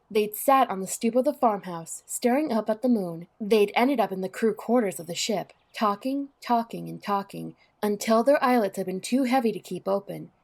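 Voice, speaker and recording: A woman narrates a scripted story. Her voice sits at a medium pitch as she reads prose for an audio drama. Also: creaky, darkish tone.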